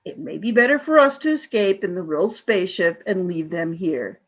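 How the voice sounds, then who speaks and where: An imperious female alien commander, acted by a woman in a radio drama. She speaks deliberately and with scorn, cold and cruel, used to being obeyed. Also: lowish voice, expressive. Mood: cold, brooding. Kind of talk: audio drama radio play acting.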